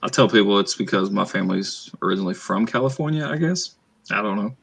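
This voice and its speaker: Low gravelly man, soft Southern accent. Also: lively intonation, quick pace. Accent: Southern US, northeast Arkansas. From Arkansas, USA. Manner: laid-back, rambling plugs with fillers. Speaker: author and channel host. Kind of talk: casual livestream chat.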